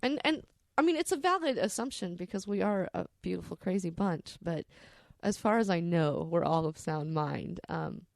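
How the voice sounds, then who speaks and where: Low gravelly lady rambling candidly about her life, swears a bit, super dry close sound. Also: expressive, normal speed, few pauses, dry recording. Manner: candid, salty-tongued. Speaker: podcast storyteller. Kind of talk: candid, rambling personal storytelling.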